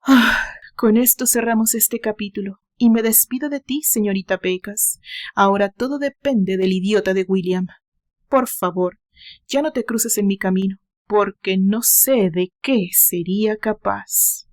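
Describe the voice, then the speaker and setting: A woman's voice: mid-range voice, measured pace, darkish tone, natural voice. A woman voicing a heartbroken young man in love, bitter and resigned, with a dramatic, pleading delivery. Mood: bitter farewell.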